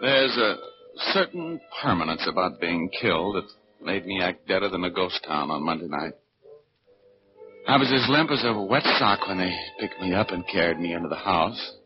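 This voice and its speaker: An actor playing a wry, slangy, tough private detective in a 1940s radio drama, narrating and scheming. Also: male voice, low-pitched, expressive, moderate pace, over music. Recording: dull 1940s radio broadcast.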